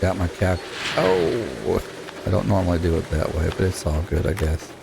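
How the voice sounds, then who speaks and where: Deep gravelly man chatting over a game. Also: big pitch swings, normal speed, noisy background. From Utah. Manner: chatty, muttering at the game, rambling asides. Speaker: gaming YouTuber. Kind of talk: casual let's-play commentary.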